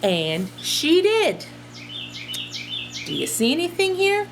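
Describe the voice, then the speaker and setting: Expressive, lively woman teacher reading aloud. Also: extremely high pitch, slow, creaky, background noise. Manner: expressive, addresses young listeners directly. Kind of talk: children's storybook read-aloud and chat.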